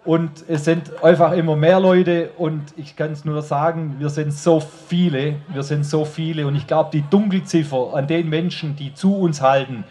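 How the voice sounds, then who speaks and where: A man with a Swabian accent and a slightly high voice speaks from an outdoor stage. His delivery is informal and colloquial, as a rally speaker talking straight to the people in front of him through a handheld microphone. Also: steady tone, normal speed, few pauses, darkish tone. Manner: colloquial, addressing the crowd directly. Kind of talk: informal speech at a political rally. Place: outdoor stage under an open tent.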